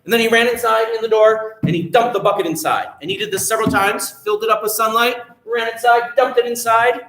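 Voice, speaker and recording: A man telling a children's story at a library storytime, animated and playful, chatting with his young listeners. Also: high voice, quick pace, echoey room.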